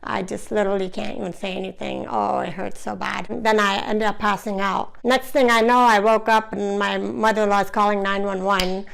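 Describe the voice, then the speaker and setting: A hoarse woman chatting to camera on her own channel, her voice gravelly with fry yet still smooth underneath. She talks loosely and conversationally, leaning on fillers as she goes. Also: medium pitch, level tone, moderate pace, hardly any pauses, low-quality recording. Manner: chatty, many "you know" fillers. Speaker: vlogger, channel host. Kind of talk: casual to-camera chat.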